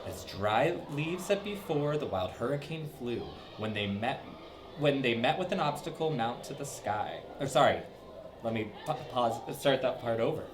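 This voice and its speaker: A man's voice: medium pitch, normal speed. Guy reading a bedtime story to kids, voice bouncing all over, lots of background hiss. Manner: lively, sing-song, with dramatic swoops. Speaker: storybook reader for children. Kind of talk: reading a rhyming story aloud.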